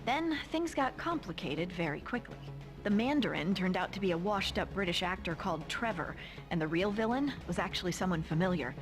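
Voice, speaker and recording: A woman's voice: on the low side, expressive, a little fast, lightly acted. A woman playing a superhero's sardonic girlfriend, narrating the adventure in a scripted style with wry, playful asides. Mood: wry, breezy. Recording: music behind the voice.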